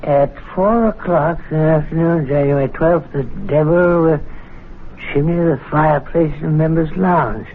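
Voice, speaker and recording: An actor playing a sly, resentful older servant at a gentlemen's club in a 1970s radio drama, exclamatory and wordy. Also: male voice, on the high side, moderate pace, natural voice. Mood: deferential. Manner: scornful, exclamatory, deferential to his betters. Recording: lo-fi, noisy old radio broadcast.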